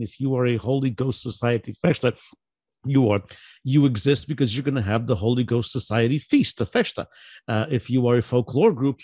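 A man speaking on an online panel, halting with many fillers and restarts. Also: mid-range voice, mellow, no echo, clean studio sound. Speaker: guest speaker.

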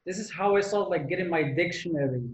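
A man from Morocco, a guest in a casual interview, telling stories in an animated way and filling his talk with "like". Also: high voice, a little fast, reverberant, slight background noise, low-quality recording. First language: not English; French his second language. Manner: animated, many "like" fillers, laughs often.

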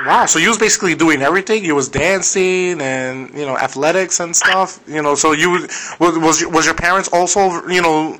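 A man's voice: fairly high pitch, moderate pace, hardly any pauses, bright, forceful tone, rough recording. A man hosting a podcast interview in a friendly, casual way. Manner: friendly, casual, informal grammar. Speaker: podcast host.

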